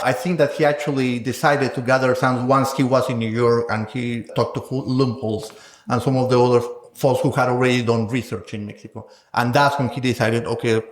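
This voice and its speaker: A man speaking as a guest scholar in an interview, measured and thoughtful, often ending points with a questioning "no?". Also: medium pitch, reverberant. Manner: thoughtful, measured, tags statements with "no?". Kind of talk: conversational interview.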